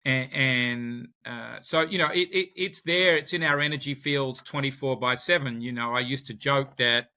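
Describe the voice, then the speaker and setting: A man's voice: mid-range voice, moderate pace, few pauses, sharp tone. An Australian man, a podcast guest, talking in a conversational interview and often repeating words as he searches for his phrasing.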